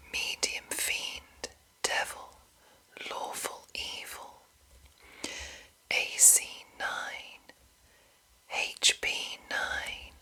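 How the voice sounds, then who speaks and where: A woman reads in a hushed ASMR whisper, entirely breathy and unvoiced. She takes her time over each short, deliberate phrase, with a crisp, sibilant brightness to the whisper. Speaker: ASMR host reading a monster manual.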